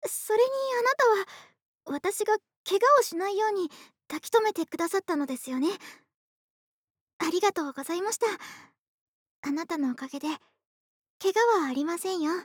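An actress playing a gentle, polite, caring neighbor woman in an intimate ASMR voice drama, speaking closely to one listener. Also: extremely high pitch, animated, brisk, pauses often, no room sound, clean studio sound. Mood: flustered, grateful. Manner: polite, soft-spoken formal speech addressed to the listener. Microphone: close ASMR microphone, dry studio.

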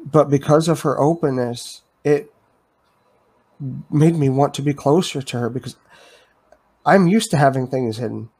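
A man co-hosting a casual chat, speaking calmly and supportively, with short prompts that sum up what his partner said. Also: mid-range voice, moderate pace, long pauses, breathy edge. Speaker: podcast co-host.

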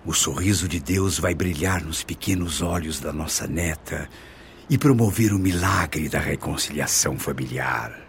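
An actor playing a caring, devout Brazilian father in a radio soap, speaking in a measured, earnest, soothing way. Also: extremely low pitch, slight background noise. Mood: earnest, reassuring. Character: caring, reassuring father, devout expectant grandfather.

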